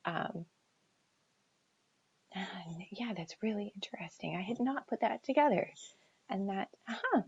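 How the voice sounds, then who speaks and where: An American woman chatting casually as an author on a livestream Q&A, thinking aloud in a halting, reflective way and often trailing off. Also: mid-range voice, animated, a little mellow, slightly rough. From New Hampshire seacoast, United States. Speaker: author answering audience questions. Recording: dull lo-fi livestream audio.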